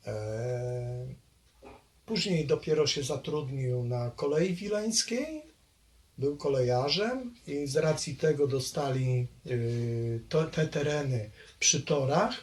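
An older man recalling his family history in a halting way, full of pauses and hesitations. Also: medium pitch, darkish tone. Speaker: interviewee, longtime local resident. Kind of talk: oral history recollection.

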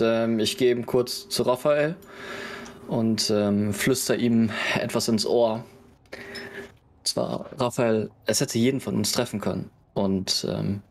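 A man playing a tabletop role-playing game, narrating his character's actions in a measured, hesitant way with pauses. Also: mid-range voice. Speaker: player in tabletop role-play.

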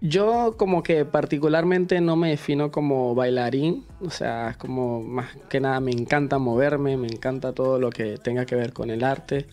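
A man's voice: mid-range voice, fairly even intonation, normal speed, hardly any pauses, mellow. A young man from Venezuela with a Venezuelan accent, a dancer guest in a studio interview, friendly and upbeat. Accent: Venezuelan Spanish. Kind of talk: casual interview. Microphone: handheld microphone.

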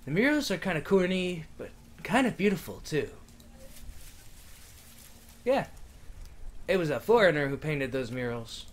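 A man playing a horror game and commenting on it, loud, excitable and sarcastic, swearing freely and reading the game's lines aloud. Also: fairly high pitch, a little slow, long pauses, slight background noise. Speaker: game streamer. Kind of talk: let's play commentary.

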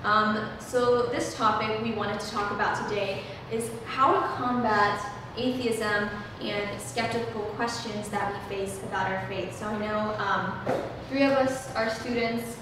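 A woman's voice: mid-range voice, fairly even intonation, unhurried. An eighteen-year-old woman hosting a casual discussion in a church room, talking earnestly with frequent "um" fillers. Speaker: host. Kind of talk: casual discussion, leading a prayer. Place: church room, small group at a table. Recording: echoey room, heard from a distance, background noise.

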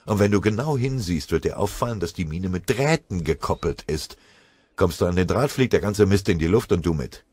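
A man's voice: low voice, lively intonation, a little fast, darkish tone, breathy edge, no echo. A man voicing a commanding, wary German army squad leader in an audiobook, sardonic and barking.

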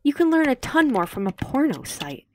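An indignant high school girl read by a woman narrator, prim and quick to judge. It is an audiobook character, recorded with a faint noise underneath. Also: very animated. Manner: indignant, judgmental. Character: disapproving, prim teenage schoolgirl typing online. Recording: slightly noisy home recording.

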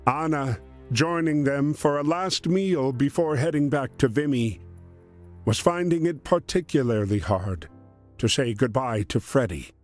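A man narrating a scripted dramatic story in a measured, solemn way. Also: medium pitch. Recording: music under the voice. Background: background music.

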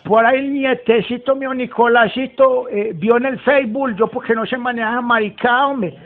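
A man's voice: extremely high pitch, steady tone, normal speed. A man voicing a rustic, foul-mouthed, agitated 78-year-old from Antioquia, Colombia, in a folksy, rambling prank phone call. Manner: folksy, rambling, swearing, repetitive. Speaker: prank caller. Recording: muffled, very noisy phone recording with clicks.

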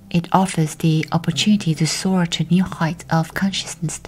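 Low, breathy woman guiding a meditation. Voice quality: breathy, soft, whispery. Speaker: guided meditation host. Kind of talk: guided meditation narration.

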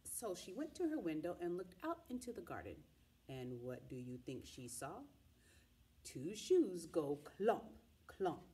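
A middle-aged woman reading a children's picture book aloud in an expressive, dramatic way, drawing out the sound words. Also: lowish voice, a little slow. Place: small indoor room with wall cards and pillows.

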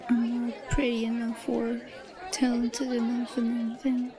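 Woman acting a high-pitched, timid, nervous schoolgirl yandere. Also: monotone, normal speed, crowd noise, natural voice. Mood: lovesick, self-loathing. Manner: nervous, stammering, breathy hesitant delivery. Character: timid, bullied young female student, shy obsessive yandere. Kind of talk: roleplay audio drama acting.

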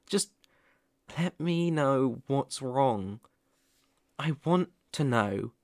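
A man acting a tender, slow-talking caring boyfriend. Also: medium pitch, lively intonation, no room sound, clean studio sound. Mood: soothing. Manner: soothing, tender, reassuring. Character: caring, worried boyfriend fussing tenderly over sick partner. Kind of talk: ASMR boyfriend roleplay.

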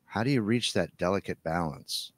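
A middle-aged man hosts a casual interview podcast. His voice sits fairly low, and the close microphone gives a dry sound with little room in it. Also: animated, normal speed, dry recording. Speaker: podcast host. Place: home room, video call.

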